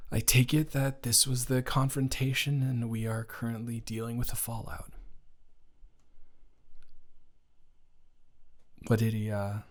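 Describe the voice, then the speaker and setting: A man's voice: fairly low pitch, close to an everyday voice. A young man playing a boyfriend's caring best friend in an improvised romantic audio roleplay, talking in a casual, stammering, awkwardly indignant way. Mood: hesitant, concerned. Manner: halting, stammering, informal, repeats himself.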